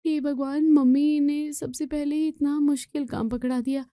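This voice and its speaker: A woman's voice: high voice, level tone, normal speed, dark tone, no room sound, studio quality, voice pushed up, natural voice. A woman voicing a hapless young daughter, unsure and complaining over housework, in a storybook tale. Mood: dismayed.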